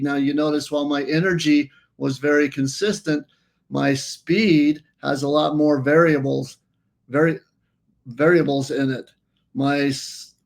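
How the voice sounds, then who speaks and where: Man walking through an app, flat delivery. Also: on the high side. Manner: explanatory, halting with restarts. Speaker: company presenter. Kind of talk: app walkthrough presentation.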